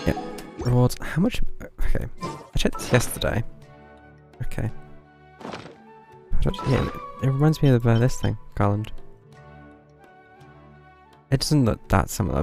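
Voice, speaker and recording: A young man streaming a game, commenting casually in a low-key, musing way. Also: expressive, takes it slowly, creaky, pauses often. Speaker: live streamer. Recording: game music behind the voice.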